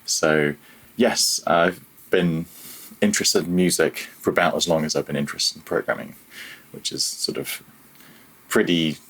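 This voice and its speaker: A low, husky-voiced programmer in his thirties, thinking aloud as a guest on a laid-back tech podcast. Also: fairly even intonation, moderate pace, pauses often, fairly bright tone, rough recording. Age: 30s. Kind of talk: casual interview chat.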